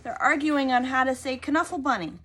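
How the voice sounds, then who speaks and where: A woman reading a picture book aloud to young children, expressive and often pausing to ask them questions. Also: high-pitched, a little hiss or hum. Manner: expressive, prompting listeners with questions.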